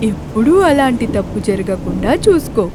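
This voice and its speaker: A woman's voice: medium pitch, expressive, normal speed, loud music behind the voice, natural voice. A woman voicing a sensible, obedient young animal friend in a children's cartoon. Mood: earnest, advising.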